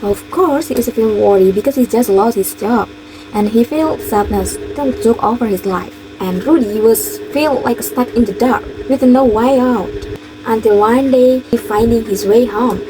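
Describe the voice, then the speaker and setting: Young Indonesian-accented woman, higher voice. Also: normal speed. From East Java, Indonesia. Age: young adult. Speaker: university student presenter. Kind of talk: rehearsed presentation and simple storytelling. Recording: clear background noise.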